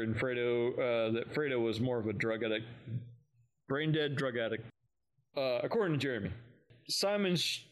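A man co-hosting a podcast, recapping a story in an informal, conversational and somewhat halting way. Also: mid-range voice, rough recording. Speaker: podcast co-host. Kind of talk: casual recap of an audio drama.

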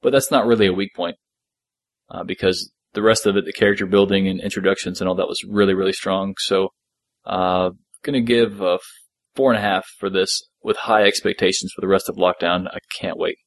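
A man's voice: low-pitched, fairly even intonation, a little fast, no room sound. A man hosting a podcast, talking casually as a fan discussing a story. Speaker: podcast host.

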